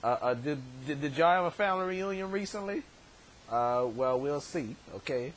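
Hoarse male vlog host in his thirties, fairly high voice, rambling and stammering, webcam in a bedroom with faint hiss. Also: lively intonation, moderate pace. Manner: rambling, many stammered repeats. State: hoarse, voice worn from shouting. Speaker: show host. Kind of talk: casual vlog shout-outs. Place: bedroom, indoors. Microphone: webcam at desk distance.

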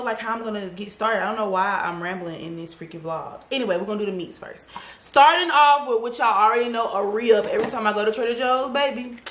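A woman's voice: medium pitch, a bit bright. A woman in her thirties chatting casually through a grocery haul in her home kitchen, sassy and talkative, calling her viewers y'all and baby. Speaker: vlogger. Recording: echoey kitchen.

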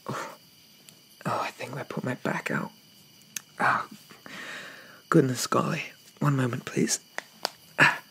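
A man playing a shy, gentle, polite Victorian zombie in a soft-spoken ASMR roleplay, stammering and hesitant with old-fashioned courtesy. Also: mid-range voice, gravelly, slight background noise, poor audio. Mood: apologetic, flustered.

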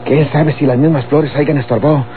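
A man playing a callous, simple young Mexican country henchman in a radionovela, halting and matter-of-fact. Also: on the high side, fairly even intonation, close to an everyday voice. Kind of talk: Mexican golden-age radionovela acting. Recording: tinny old radio, faint hum.

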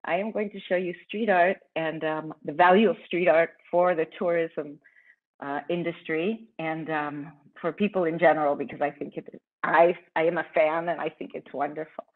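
A woman's voice: low-pitched, steady tone, moderate pace. A woman in her fifties from Argentina, a tour guide giving a friendly, chatty conference talk over a video call with many ums and restarts. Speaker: tour guide presenting online. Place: indoor room at home. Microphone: laptop webcam on a video call. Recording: tinny video call audio.

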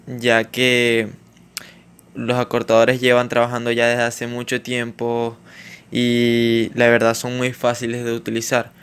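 Latin american guy explaining stuff over a screen recording, smooth clear voice, lots of ehh and restarts. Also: mid-range voice, fairly bright tone. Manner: informal, hesitant, many fillers and restarts. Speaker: YouTuber explaining online earnings.

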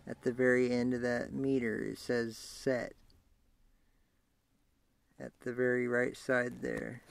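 A man talking through a hands-on tutorial in a casual, halting way, thinking aloud as he works. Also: medium pitch, flat delivery. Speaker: amateur how-to demonstrator. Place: inside a parked vehicle. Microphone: handheld camera mic. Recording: clearly noisy amateur camera audio, clicks.

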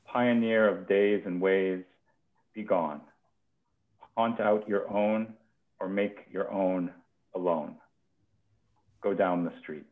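A young man hosting a poetry reading informally, with a halting, thoughtful way of talking. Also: on the low side. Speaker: host of a poetry reading series. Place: home kitchen. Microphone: headset on a video call. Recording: phone-like narrow-band video call.

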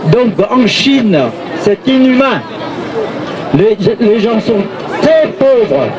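Older man, high voice, slow, deliberate rally speech on a hand mic. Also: animated, lo-fi sound. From Vietnam. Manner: halting, repeats "vous voyez?" to the crowd. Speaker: protest speaker. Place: outdoor street rally in a city square. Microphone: handheld mic through a loudspeaker. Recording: open-air rally with crowd noise. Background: crowd of protesters.

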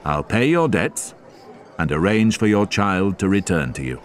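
A man voicing a kind male town mayor in an audiobook, speaking in a soft, firm voice. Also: low-pitched, sing-song, unhurried. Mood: gentle.

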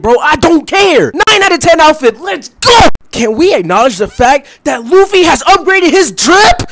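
A man giving loud, exaggerated, slangy comedic review commentary. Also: very high-pitched, slight background noise. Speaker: video creator rating anime outfits.

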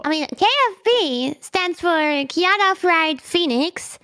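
A young woman with a bright, high voice talks through a convention Q&A. German is her first language, and she is bubbly and chatty, full of "um"s and quick restarts. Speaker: guest streamer. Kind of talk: convention Q&A interview.

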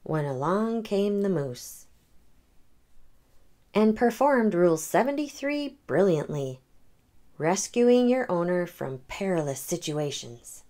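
A woman hosting a children's read-aloud, narrating a picture book in an expressive storytelling manner. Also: lowish voice, slow.

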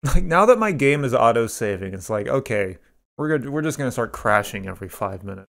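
A man's voice: mid-range voice, big pitch swings, fairly quick, vocal fry, a bit bright. A man giving casual, rambling video game commentary, with some swearing.

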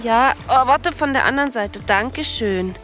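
A woman in her early thirties, a mother chatting casually to a handheld camera on a walk by a lake outdoors and calling out loudly to her dog now and then. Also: on the high side, lively intonation, normal speed, soft background music. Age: early 30s. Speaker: vlogger and mother. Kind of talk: casual walk-and-talk vlog. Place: outdoors on a lakeshore beach. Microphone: handheld camera at arm's length.

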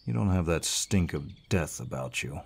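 An actor playing a large, gruff but kindly barbarian warrior in an audio roleplay, measured and reassuring, with a deep voice. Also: male voice, animated, slight background noise, exaggerated voice. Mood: calm, questioning. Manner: measured, reassuring, with pauses and sighs. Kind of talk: scripted audio roleplay, addressing the listener. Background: birdsong forest sound effects.

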